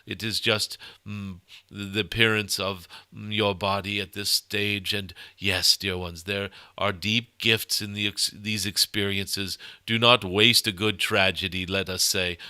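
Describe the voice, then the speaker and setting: Man channeling a forceful, solemn cosmic dragon guide. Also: fairly low pitch, fairly even intonation, clear, resonant tone, dry recording, clean studio sound. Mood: solemn, consoling. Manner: halting, hums "mm" between phrases, formal archaic phrasing. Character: ancient benevolent male cosmic dragon, solemn spirit guide. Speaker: channeled spiritual teacher. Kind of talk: channeled spiritual guidance.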